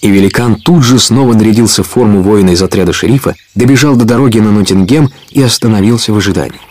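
A man narrating a Russian audio drama as the storyteller of an adventure tale, in a measured manner. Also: lowish voice, a little mellow, lightly acted. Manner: measured, clearly told storytelling.

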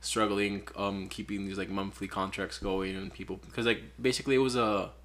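A man's voice: lowish voice, a little fast. Laid-back young man from Peru. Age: 20s. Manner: relaxed, rambling, many "like" fillers. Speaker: photographer guest. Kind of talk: casual podcast interview.

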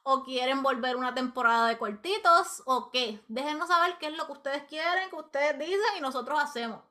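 A woman's voice: sits high, brisk, bright, forceful tone. A woman hosting a podcast, chatting casually and playfully, talking straight to listeners. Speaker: podcast host.

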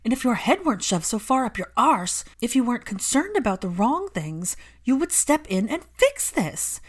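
An outspoken, indignant woman performed by a female reader argues with raw emotion in a dramatic story. Her pitch rides high and moves widely as she presses each point emphatically. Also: very animated, moderate pace, breathy edge. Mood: furious. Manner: emphatic, impassioned, argumentative.

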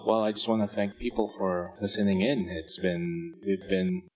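A Filipino-accented man hosts a relaxed podcast. His voice sits very low, and he talks in a loose, rambling way full of fillers and restarts. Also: normal speed, poor audio. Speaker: podcast host. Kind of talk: casual chat.

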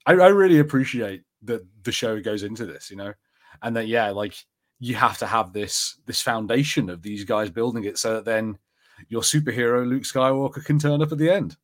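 A man chatting casually as a podcast host, rambling conversationally with halting, restarted sentences. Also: medium pitch. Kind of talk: casual chat.

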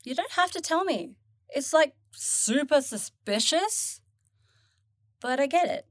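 Actress as grumpy sarcastic young dead girl in a fantasy audio drama, highish voice, takes her time, really dry close sound. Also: animated, slow pace, a bit bright, no room sound, full character voice. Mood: blunt, teasing. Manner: sarcastic, casual, slangy. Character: flippant, grumpy young woman newly dead, blunt and curious.

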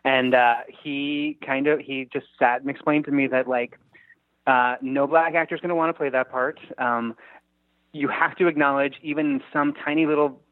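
A man's voice: mid-range voice, steady tone, normal speed, no room sound. A man chatting casually as an interview guest, a writer on a remote call. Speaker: guest screenwriter. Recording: narrow phone-like line.

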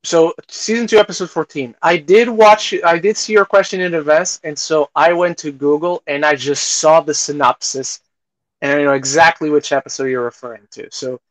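A man's voice: fairly high pitch, steady tone, moderate pace, no echo, poor audio. A man co-hosting a podcast, chatting casually about movies and television. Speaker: podcast co-host.

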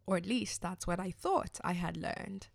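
Low, gravelly young woman reflecting. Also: dry recording, studio quality. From Zimbabwe. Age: young adult. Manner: reflective, candid, measured. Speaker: podcast host. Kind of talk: scripted personal reflection.

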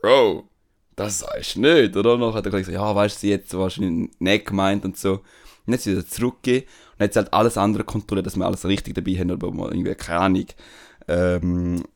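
A young man from Switzerland with a Swiss German accent, telling a story in a relaxed, slangy podcast chat full of fillers. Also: sits low, quick pace. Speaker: podcast host. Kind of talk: casual chat.

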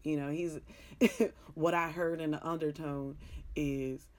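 Deep-voiced raspy woman, middle-aged sports talk host, hoarse, frank and rambling. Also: fairly even intonation, slow. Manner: frank, joking, rambling, with mild cursing. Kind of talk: casual sports talk monologue.

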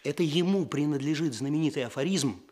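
An actor playing an earnest, officious eighteen-year-old police chief in a radio play, speaking precisely. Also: male voice, a little high, expressive, brisk, darkish tone. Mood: eager, concerned. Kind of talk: Soviet radio play acting.

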